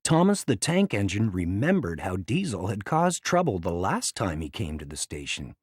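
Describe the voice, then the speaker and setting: A man reading a children's read-along story as a scripted, dramatic and expressive narration. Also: low voice, normal speed, breathy edge, no room sound, clean studio sound.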